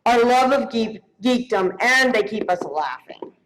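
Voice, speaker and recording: A woman's voice: fairly high pitch, expressive, measured pace, slight background noise, low-quality recording. A woman presenting an award live, in a casual and playful way.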